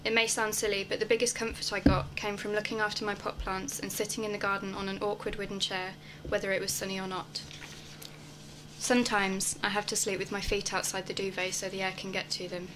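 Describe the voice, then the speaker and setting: A woman's voice: medium pitch, level tone, a little fast, close to an everyday voice, low-quality recording. A young woman acting in a radio play, delivering scripted personal monologues. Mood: wistful. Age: young adult. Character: wistful young woman longing for home.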